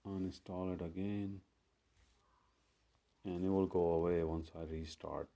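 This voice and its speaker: Slow, low-voiced man walking through phone steps. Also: level tone, dark tone. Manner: step-by-step, unhurried, plain instructions with fillers. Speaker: tech tutorial host. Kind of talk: screen-recorded phone tutorial.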